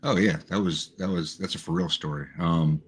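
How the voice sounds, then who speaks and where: A man's voice: sits low, fairly even intonation, normal speed, breathy edge. A man in his forties telling his life story in a casual interview, laid-back, candid and blunt, speaking into his own microphone on a remote call. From Texas, USA. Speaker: guest, retired Army Special Forces sergeant major.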